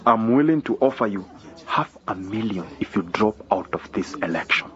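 A man acts a corrupt, menacing politician in a radio drama. The voice is low and smooth-talking with a bullying edge, on a somewhat muffled recording. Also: lively intonation, normal speed, lightly acted. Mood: sly, coaxing. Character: corrupt, menacing male politician offering bribes.

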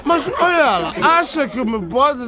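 Cocky security guard, slow halting mocking talk, pitch all over, loud crowd behind. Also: male voice, big pitch swings, exaggerated voice. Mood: mocking, defiant. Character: cocky, defiant security guard bragging to his friends. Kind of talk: radio drama acting.

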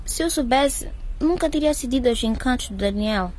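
High-voiced, naive teenage schoolgirl. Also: expressive, normal speed, darkish tone. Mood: regretful. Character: Maria, naive, caring, anxious teenage schoolgirl. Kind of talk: radio soap opera acting.

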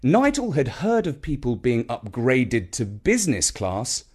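A man reading a children's story in an expressive narrating style. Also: medium pitch, measured pace, slightly rough.

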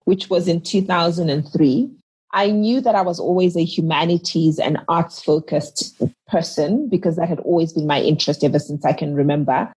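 A woman from Zimbabwe in her thirties talks as an interview guest. Her husky, low voice moves earnestly and reflectively, often restarting a thought as she works it out. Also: normal speed, a little mellow, poor audio.

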